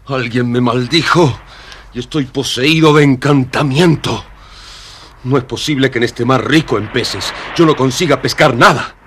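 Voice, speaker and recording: Actor as bright-voiced, excitable poor fisherman. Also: medium pitch, unhurried, lightly acted. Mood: despairing. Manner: theatrical, exclamatory. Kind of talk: dramatized children's audio storybook acting. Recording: slightly noisy vintage storybook recording.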